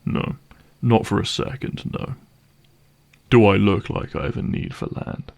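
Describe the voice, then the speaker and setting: A man playing a gruff, cynical vampire hunter for hire in a dramatic audio roleplay, speaking in a wry, sardonic and menacing way. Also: lowish voice, steady tone, a little slow, a little mellow. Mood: sardonic, dismissive. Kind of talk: dramatic audio roleplay monologue addressed to listener.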